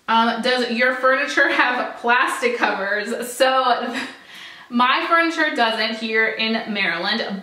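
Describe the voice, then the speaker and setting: A young woman talks straight to camera in a relaxed, upbeat vlog style. Her voice is bright and a little high, and it rings in an echoey room. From United States. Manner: chatty and upbeat, heavy use of "like" and "so". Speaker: beauty vlogger. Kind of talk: casual vlog talk to camera.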